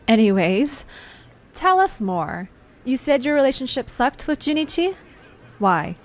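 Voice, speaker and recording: A woman playing a bold, nosy young amateur journalist in an audio drama, with a brisk, confident, playful delivery. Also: high voice, big pitch swings, full character voice. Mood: exasperated. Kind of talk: conversational audio drama acting.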